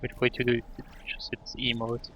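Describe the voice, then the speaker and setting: A man chatting casually on a live game stream in a halting, muttering way. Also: medium pitch, monotone, a little hiss or hum. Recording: dull lo-fi mic, faint game music.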